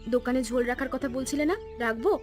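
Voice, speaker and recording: A woman voicing a modest, practical, kind village wife in a cartoon story, matter-of-fact and gently teasing. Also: high voice, fast, faint music behind, lightly acted. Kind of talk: Bengali cartoon story voice acting.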